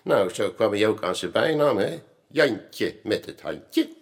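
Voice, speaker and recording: An actor playing a chatty, unbothered Amsterdam café owner in a radio play, colloquial and knowing, with hesitant asides. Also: male voice, on the low side, very animated, a little slow, exaggerated voice. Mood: knowing, amused. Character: male Amsterdam pub landlord, streetwise, chatty, unbothered. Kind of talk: Dutch radio play acting.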